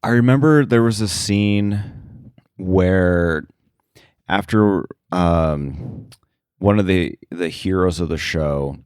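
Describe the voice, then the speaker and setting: A man speaking casually as an interview guest about his work, thinking aloud in a halting way. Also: deep voice, a little mellow, smooth, no room sound, studio quality. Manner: halting, thinking aloud, frequent filler likes. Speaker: sound editor, interview guest.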